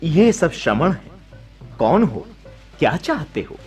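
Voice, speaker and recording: An actor playing a solemn, fearless, righteous monk who preaches in formal, declamatory radio-drama style. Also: male voice, fairly high pitch, sing-song, darkish tone, breathy edge, full character voice. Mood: calm, fearless. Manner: declamatory, preaching, measured moral authority. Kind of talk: Hindi radio drama acting, formal oratory. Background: faint music.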